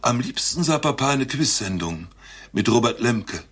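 An actor playing a meek, deadpan male therapy patient who answers matter-of-factly in a radio play. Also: medium pitch, normal speed, slightly rough. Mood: deadpan, subdued.

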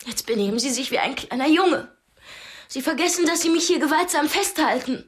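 An actress playing a frightened but defiant young captive woman in a radio drama, breathy and pointed in her speech. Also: high-pitched, expressive, brisk. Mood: defiant, urgent. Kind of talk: German radio drama dialogue.

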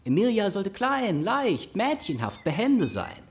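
An actor playing a charming, flirtatious gentleman with a persuasive, theatrical delivery in a German radio drama. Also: high voice, wildly swooping pitch, measured pace, full character voice. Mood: coaxing, pleading.

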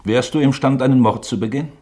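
An actor playing an aging, brooding uncle who muses hesitantly, in 1960s German radio drama style. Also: male voice, medium pitch, quick pace, darkish tone, husky, close to an everyday voice. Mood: probing. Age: older. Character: aging, brooding uncle turning cranky and mystical.

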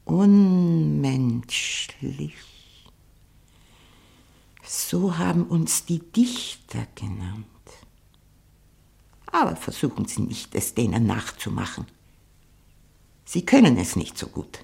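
A woman playing a sharp-tongued, haughty old aunt, frail but proud, in a 1960 German radio play. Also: sits low, very animated, vocal fry, heavily acted. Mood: haughty, weary. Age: older. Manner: haughty, mocking, deliberate.

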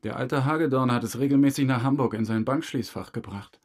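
An actor playing a chummy, self-satisfied murder suspect who breezily dodges questions in a German crime audio drama. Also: male voice, mid-range voice, a little fast, close to an everyday voice. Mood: unrepentant, confiding. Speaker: suspect under interrogation.

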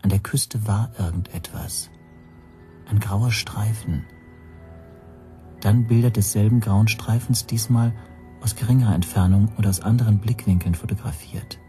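An actor playing the reflective first-person narrator of a thriller radio play, an accused amateur photographer recalling events in a measured, suspenseful way. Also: male voice, fairly low pitch, mellow, faint music behind, close to an everyday voice. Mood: tense recollection.

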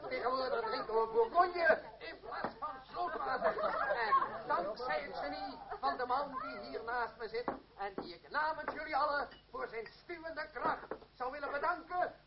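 A merry, high-flown man of the theatre in an old radio play. His voice is very high, with crowd noise behind. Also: normal speed, pauses often, dull audio. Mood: jovial. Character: merry, high-flown male actor Leander proposing toasts. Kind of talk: radio drama acting.

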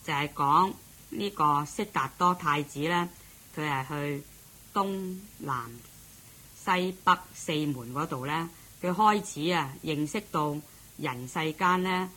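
A woman's voice: low-pitched, takes it slowly, a bit bright, rough recording. A woman with a Hong Kong Cantonese accent narrating a scripted introduction to a radio drama.

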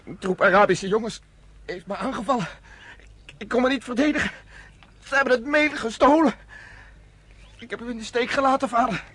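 A male performer acts a dutiful young farm son in a radio drama. The voice is very high and bright, with a husky edge. He speaks earnestly and obediently. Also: brisk, pauses often, exaggerated voice. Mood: distressed. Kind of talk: Dutch radio drama acting.

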